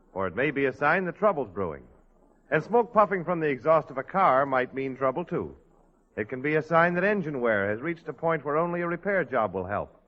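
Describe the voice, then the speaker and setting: A man announcing on a 1940s radio show in a scripted, period broadcast style. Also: fairly high pitch. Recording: muffled vintage radio, long hall reverb.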